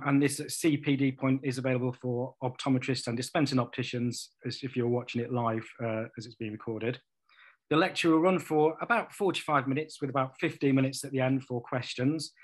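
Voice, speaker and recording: Man, mid pitch, methodical webinar host, computer mic. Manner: plain, methodical, with ums. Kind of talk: reading housekeeping notes. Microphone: computer mic on a video call.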